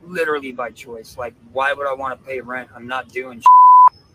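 A man's voice: medium pitch, wildly swooping pitch, moderate pace, phone-quality sound. A man answering a street interview in a blunt, slangy, casual way, recorded outdoors. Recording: noisy outdoor street clip with traffic.

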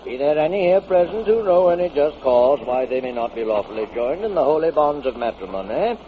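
An actor playing a chatty, absent-minded minister in a 1940s radio drama. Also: male voice, a little high, lively intonation, fairly quick, narrow, tinny audio, lightly acted. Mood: solemn, reciting. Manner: rambling, absent-minded, formal when reciting. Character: dithering, chatty male minister at a hasty wedding. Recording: noisy old 1940s radio broadcast.

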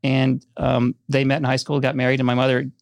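A man's voice: medium pitch, completely flat delivery, a little fast, creaky, no room sound. A middle-aged man from Minnesota, a filmmaker guest telling wry, self-deprecating stories about his childhood in a casual interview.

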